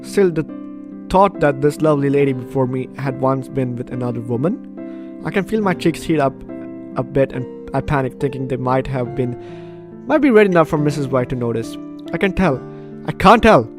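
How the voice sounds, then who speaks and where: A man's voice: medium pitch, expressive, normal speed. A man doing playthrough commentary, reading game text dramatically and breaking off into reactive asides. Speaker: gaming YouTuber. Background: game music.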